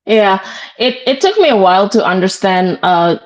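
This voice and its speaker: A woman talks politics in a loose, halting way, with plenty of ums and small pauses while she searches for words. Her voice sits at an ordinary middle pitch for a woman. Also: animated. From Indonesia. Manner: hesitant, lots of ums and uhs, laughs often. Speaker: show participant. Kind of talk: casual political chat.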